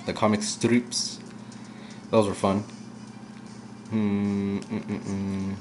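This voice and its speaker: A young man giving casual, rambling commentary while playing a video game, his voice low and at times monotone. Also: very slow, a little hiss or hum. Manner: rambling, chatty, drifts off topic. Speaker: let's play gamer. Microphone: camera aimed at the game screen.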